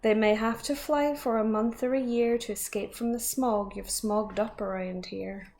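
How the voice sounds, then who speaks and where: A woman voicing a grumpy little male forest creature who scolds in a croaky, coughing voice. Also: fairly high pitch, fairly even intonation, normal speed, lightly acted. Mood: scolding. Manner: loud and scolding.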